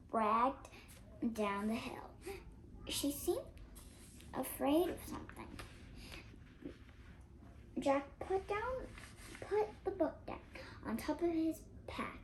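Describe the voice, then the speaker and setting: An eight-year-old child reading a storybook aloud, halting at times and stumbling over words. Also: high-pitched, slight background noise, low-quality recording. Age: child, 8 years old. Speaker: young reader on a read-aloud channel.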